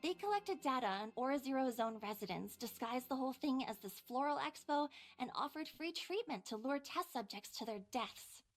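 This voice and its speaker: A high, youthful female voice plays a sardonic doctor in a video game. She tells things articulately and theatrically, like someone whose conscience is torn. Music plays clearly under the voice. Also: animated, moderate pace, few pauses. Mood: grim explaining. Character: sardonic, morally torn young woman doctor. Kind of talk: dramatic video game voice acting.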